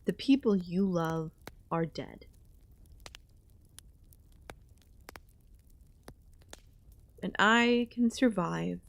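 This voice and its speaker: A woman playing an ancient, possessive, lonely goddess queen in an ASMR audio roleplay, speaking in a hushed, intimate way with pauses. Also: fairly low pitch, vocal fry. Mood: wistful, regal.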